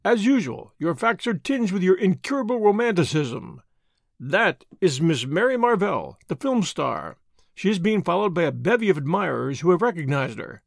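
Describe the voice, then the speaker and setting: A male narrator puts on a fussy little Belgian detective with a French accent, pompous and sure of his own genius. The voice sits high and swings freely in pitch. It is recorded close and dry, with no room sound. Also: sing-song, moderate pace, gravelly, dry recording, heavily acted. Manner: pompous, precise, self-satisfied. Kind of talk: audiobook character voice.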